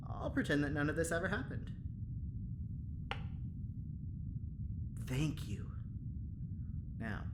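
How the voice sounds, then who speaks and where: An actor playing a talkative, well-meaning prison guard in an audio drama, chatty and coaxing in manner. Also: male voice, a little high. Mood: firm, coaxing. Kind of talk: audio drama acting, spoken log. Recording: clearly noisy, ambient background.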